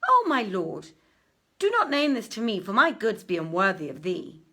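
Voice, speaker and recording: A woman's voice: medium pitch, wildly swooping pitch, moderate pace, sharp tone, pitched above the performer's own voice, exaggerated voice. Woman as a plaintive, lovelorn young merchant. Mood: pleading, guarded. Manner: plaintive, declamatory. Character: sorrowful lovelorn young male merchant, humble, secretive. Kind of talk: dramatic storybook reading of archaic verse and dialogue.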